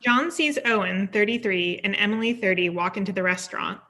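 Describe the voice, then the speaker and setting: A woman narrating a script as the stage-direction reader. Her voice is bright and crisp-edged, sitting at a medium pitch. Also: a little fast.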